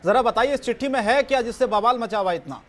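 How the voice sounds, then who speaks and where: A man anchoring a live TV news debate, brisk and firm, cutting in as moderator. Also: very high-pitched, fairly bright tone, slight background noise.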